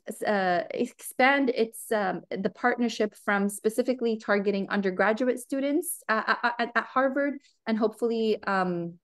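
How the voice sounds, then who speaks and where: A Lebanese American woman in her thirties answering seminar questions over a video call, earnest, with many ums and restarts. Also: medium pitch. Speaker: guest speaker. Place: home room.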